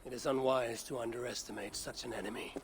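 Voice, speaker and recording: An actor playing a terse, imperious Norse god in a video game, solemn and vengeful. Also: male voice, medium pitch, steady tone, quick pace, a little hiss or hum, natural voice. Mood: cool, demanding. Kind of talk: cinematic video game acting.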